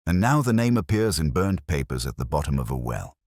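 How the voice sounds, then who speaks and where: Detective's earnest companion, read by a male narrator, very low voice, husky, measured and questioning. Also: big pitch swings, darkish tone, dry recording, clean studio sound, heavily acted.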